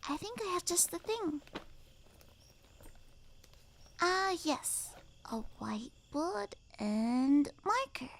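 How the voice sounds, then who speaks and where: An obsessive, lovestruck necromancer girlfriend acted by a woman for an ASMR roleplay. Her voice is high and delicate, with creaky edges that slip into hushed half-whispers. She speaks slowly and clingily, cooing with sweet, unhinged devotion. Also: sing-song, a little hiss or hum, full character voice. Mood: playful, coaxing. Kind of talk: ASMR roleplay, intimate soft-spoken acting with whispering.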